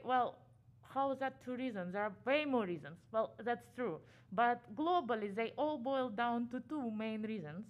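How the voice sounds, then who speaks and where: A woman from Ukraine with a Ukrainian accent, giving a conference talk quickly with many "uh" fillers, her voice muffled by a face mask. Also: fairly high pitch. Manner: fast-talking, frequent "uh" fillers. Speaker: conference speaker. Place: conference room.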